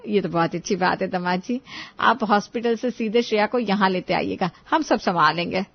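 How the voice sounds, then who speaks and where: A woman's voice: medium pitch, moderate pace, gravelly, dull audio. A woman voicing a bossy, doting middle-aged grandmother with a commanding, exclamatory manner in a family story. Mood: delighted. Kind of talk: Hindi family moral story dramatization.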